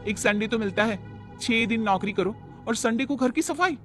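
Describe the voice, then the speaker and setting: A man's voice: very high voice, moderate pace, a bit bright, faint music behind. A man voicing a defiant, fed-up young working daughter-in-law who argues and complains in a cartoon moral story. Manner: complaining, argumentative.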